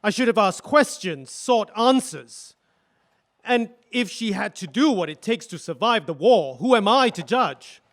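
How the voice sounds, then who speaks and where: A man playing a husband testifying in a courtroom radio drama, answering quietly and hesitantly. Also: high-pitched, expressive, measured pace, heavily acted. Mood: remorseful. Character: husband witness, subdued and remorseful. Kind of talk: audio drama acting.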